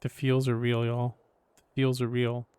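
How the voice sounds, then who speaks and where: Casual male streamer with a mid-pitched voice. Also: completely flat delivery, unhurried, darkish tone, clear, resonant tone, dry recording. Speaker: live streamer. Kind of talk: casual live game commentary. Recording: live stream over game music.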